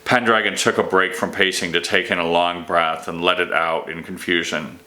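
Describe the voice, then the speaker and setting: Bright, forceful low-voiced male storyteller. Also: level tone, moderate pace. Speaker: author reading own novel. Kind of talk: expressive audiobook-style narration of fantasy fiction.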